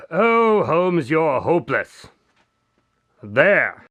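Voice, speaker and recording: A man acting a skeptical, easily exasperated doctor and detective's sidekick in a radio drama, with a wry, measured delivery. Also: on the high side, very animated, vocal fry, a bit bright, no room sound, full character voice.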